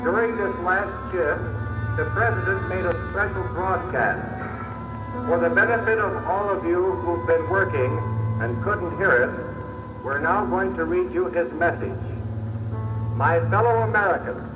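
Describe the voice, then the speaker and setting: A solemn male announcer declaims very slowly in a high voice, as in 1940s radio drama. The old recording is very echoey, with music behind. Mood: formal. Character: solemn announcer reading out a presidential prayer.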